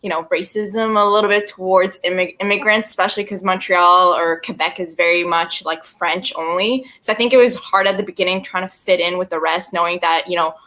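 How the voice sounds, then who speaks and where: A woman's voice: lowish voice, steady tone, brisk, few pauses, bright, forceful tone. A woman from Colombia, raised in Canada, speaking reflectively as a panelist. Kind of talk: casual panel talk.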